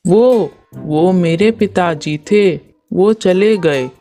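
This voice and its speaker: A woman's voice: on the low side, very slow, mellow. A woman voicing a simple, forgetful male servant in a Hindi cartoon story, earnest and dim-witted. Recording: faint music behind the voice.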